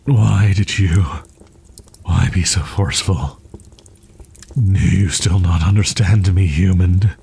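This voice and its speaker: A man playing a proud, wounded young wolf-boy in an audio roleplay, stammering in archaic, formal phrasing with a husky, breathy voice. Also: low voice, takes it slowly, pauses often, mellow, full character voice. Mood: bitter, wounded. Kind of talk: roleplay audio drama, ASMR.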